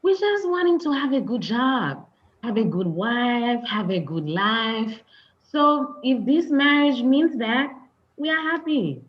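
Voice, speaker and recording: Woman playing a slow, lilting older Haitian mother. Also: on the high side, very animated, lo-fi sound. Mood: plain, earnest. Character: older Haitian immigrant mother, plain-spoken and contented. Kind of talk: comedy script table read.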